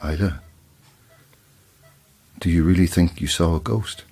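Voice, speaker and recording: A man playing a protective, plain-spoken boyfriend in an audio drama, with a husky voice. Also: low-pitched, measured pace, a little mellow, full character voice. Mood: concerned. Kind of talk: dramatic audio fiction acting.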